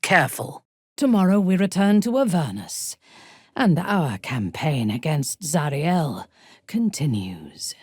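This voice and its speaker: Husky, imperious dark elf warrior. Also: female voice, deep voice, sing-song, dry recording, clean studio sound, heavily acted. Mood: intimate, solemn. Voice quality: husky, breathy. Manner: slow, deliberate, imperious, precise. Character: cold, commanding female dark elf warrior, menacing and disdainful. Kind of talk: dramatic fantasy game voice acting.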